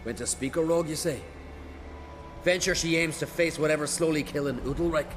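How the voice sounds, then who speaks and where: Man acting brash young warrior, higher-pitched voice. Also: brisk, a little hiss or hum, close to an everyday voice. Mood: rueful, earnest. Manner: clipped, hearty, folksy phrasing. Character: bold, brash young island warrior heir. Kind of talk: video game voice acting. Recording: game soundtrack music behind.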